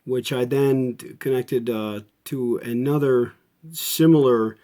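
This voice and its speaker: Mellow-voiced man explaining a repair. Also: mid-range voice, steady tone, slow. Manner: folksy, explains step by step. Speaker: radio restorer giving a repair video. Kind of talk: unscripted hands-on repair demonstration.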